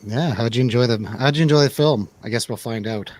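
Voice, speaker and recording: A man's voice: medium pitch, brisk, darkish tone. A man hosting a casual movie review radio show.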